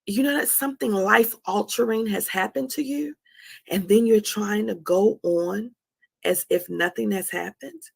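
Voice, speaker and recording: A woman's voice: medium pitch, a little slow, gravelly, slightly rough. A woman in her forties giving a candid motivational testimony as a host, emphatically repeating phrases for effect. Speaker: host of a live talk on anxiety.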